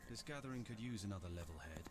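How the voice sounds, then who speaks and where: Male actor as level-headed game deity, lowish, disapproving, measured, moralizing, noisy ambience. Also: lively intonation, natural voice. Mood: reproachful. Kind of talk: fantasy video game voice acting.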